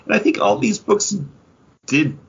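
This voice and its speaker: A man's voice: sits high, big pitch swings, measured pace, breathy edge, muffled recording. A man co-hosting a podcast in loose, casual conversation. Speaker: podcast co-host reviewing comics. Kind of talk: casual chat.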